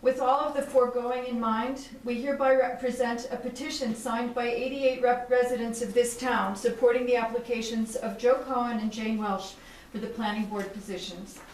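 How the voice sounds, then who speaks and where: A woman reading a formal prepared statement at a town board meeting, firm and deliberate as she lists her demands point by point. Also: fairly high pitch, level tone. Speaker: resident reading a statement at public comment. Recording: echoey room from a distant mic, faint hiss.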